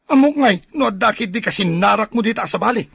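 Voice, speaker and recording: A man playing a suspicious, jealous husband and stern father in a radio drama, with a gruff, accusing manner. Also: high-pitched, expressive, fast, a bit bright, dry recording, studio quality, full character voice.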